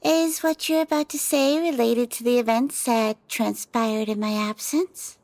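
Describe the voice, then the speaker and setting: An actress playing a composed, cunning woman who speaks in a measured, formal way in an audio roleplay. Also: fairly high pitch, a little mellow, no room sound. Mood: calm, scheming. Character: composed, cunning woman, devoted partner and schemer. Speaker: lead character in an audio drama. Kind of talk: ASMR audio roleplay acting.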